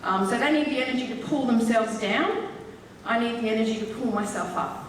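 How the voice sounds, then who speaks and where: A woman's voice: on the high side, fairly quick. A woman with an English accent, a medium giving a chatty, joking live talk to an audience. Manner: chatty, joking, explaining with laughs. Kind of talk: live stage demonstration talk. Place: large echoey festival hall. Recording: roomy hall, far from the mic, faint hiss.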